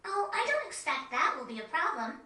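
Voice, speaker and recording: A woman playing a composed, courteous young girl who guards a forest, speaking in measured, articulate video game dialogue. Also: extremely high pitch, very animated, sharp tone, heavily acted. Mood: polite, calm. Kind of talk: video game cutscene acting. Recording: echoey, distant game audio.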